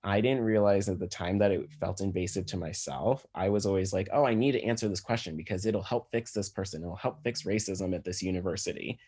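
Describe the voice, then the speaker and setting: A man with an American accent and a low voice presents as a physician. He explains his material in a conversational lecture style, as one would over slides. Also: brisk, few pauses, darkish tone, breathy edge.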